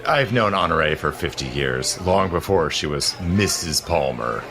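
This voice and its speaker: An actor playing a suave, teasing 19th-century gentleman in an audio drama, urbane and theatrical in manner. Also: rumbling low, lively intonation, a little slow, heavily acted. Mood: confiding, playful. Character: suave, teasing immortal gentleman protector, secretly seventy-two. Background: faint music.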